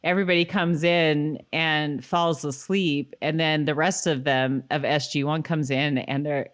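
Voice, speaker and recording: A woman co-hosting a podcast in a casual, chatty way, quick to laugh and to act out reactions while recapping a show. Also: low voice, steady tone. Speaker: podcast co-host. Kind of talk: casual chat recapping a TV episode.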